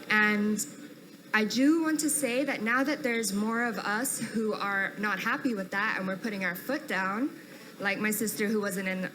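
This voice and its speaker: A woman's voice: mid-range voice, background noise. A woman in the audience at a live panel, earnestly sharing an observation from her fashion work, rambling a little and laughing between points. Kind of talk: audience comment at a live event.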